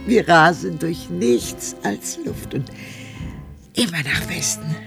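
An actress playing a wrinkled, wistful old widow in a dreamy, halting radio drama monologue that breaks into whispers. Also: female voice, low-pitched, animated, gravelly, exaggerated voice. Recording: music behind the voice.

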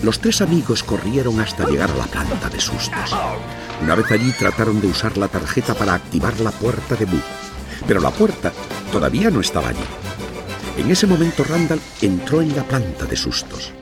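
A man narrating a children's story in an expressive, theatrical scripted style. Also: fairly low pitch, a little fast, over music.

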